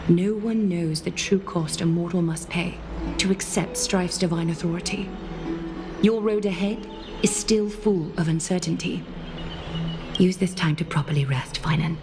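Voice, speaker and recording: A grave, stately female ruler from a fantasy video game. Her voice sits a little low and her delivery is formal and deliberate. Music sounds behind her. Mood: solemn. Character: regal, composed female leader, grave and knowing.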